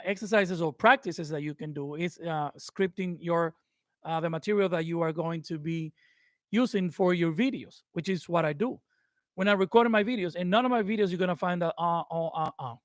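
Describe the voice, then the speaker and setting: A man's voice: high voice, dry recording, studio quality. A man whose first language is Spanish gives casual advice to viewers, hesitant and full of fillers and restarts. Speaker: YouTube creator. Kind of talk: casual advice talk to camera.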